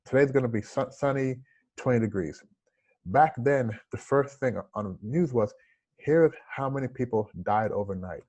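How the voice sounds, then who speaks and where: A man in his forties speaks with a slight lisp, earnest and reflective as he tells his own story in an interview. His voice sits at an ordinary middle pitch. He talks over a video call from a room at home, with a lapel mic. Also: moderate pace, darkish tone, lo-fi sound. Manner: earnest, reflective, gestures with hands. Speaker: interview guest, entrepreneur.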